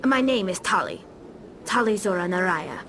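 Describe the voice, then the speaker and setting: A woman's voice: on the low side, very animated, moderate pace, a little hiss or hum. An actress playing a young alien pilgrim in a video game, earnestly explaining what she has learned. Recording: loud music behind dialogue. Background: music.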